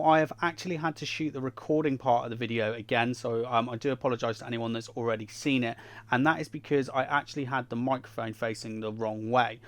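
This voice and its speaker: A man's voice: mid-range voice, a bit bright. A young man with a cold and a nasal voice, chatting casually through a product review at his home desk, rambling with plenty of fillers and restarts. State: has a cold, sounds nasal. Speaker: tech reviewer. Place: home desk setup beside a busy street.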